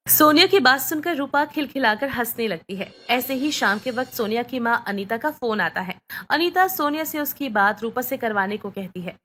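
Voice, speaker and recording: A woman's voice: a little high, expressive, moderate pace, hardly any pauses, fairly bright tone. A woman reading scripted bedtime story narration.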